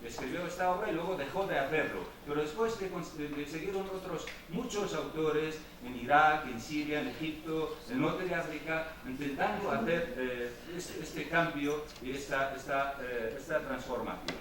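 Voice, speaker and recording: A man whose first language is Arabic, giving a university lecture with hesitant pauses and restarts. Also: fairly high pitch, fairly bright tone. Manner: hesitant, with many fillers and restarts. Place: lecture hall. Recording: echoey hall, mic far off, noisy.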